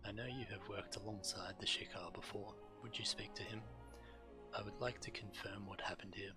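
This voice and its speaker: A man's voice: fairly low pitch, steady tone, moderate pace, music in the background. A man who streams games, reading quest text aloud.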